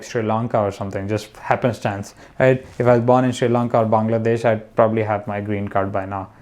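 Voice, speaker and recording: A man's voice: fairly low pitch, a little mellow, dull audio. Rambling young man from India. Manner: rambling, many restarts and fillers. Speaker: guest, comedian. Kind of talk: casual conversation.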